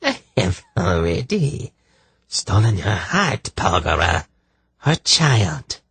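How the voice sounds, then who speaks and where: A man voicing a malevolent sorceress in a fantasy audiobook, hateful and menacing, with a harsh rasp and archaic, peculiar speech. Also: low-pitched, big pitch swings, takes it slowly, a bit bright, no room sound, voice pushed up, exaggerated voice. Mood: gloating menace. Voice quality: harsh, rasping.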